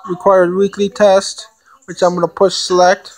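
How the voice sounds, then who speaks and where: A woman giving a casual product demonstration, haltingly thinking aloud as she reads out menu items. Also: medium pitch, level tone, gravelly, a little mellow, rough recording. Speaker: amateur product reviewer.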